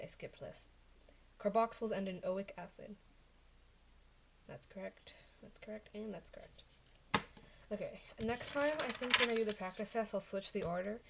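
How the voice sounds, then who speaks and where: A woman's voice: mid-range voice, background noise. Young woman muttering through study notes. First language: Chinese. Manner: mutters to herself while checking answers, self-correcting. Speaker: livestreamer studying. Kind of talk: casual study-with-me livestream. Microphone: desk microphone.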